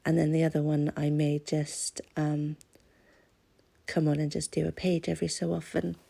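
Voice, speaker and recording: British lady w a cold doing chatty crafting voiceover, husky n mellow, rambles a bit. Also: sits low, monotone, moderate pace. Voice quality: congested, stuffy-nosed. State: has a cold. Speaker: craft channel host. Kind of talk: casual craft voice-over, chatty.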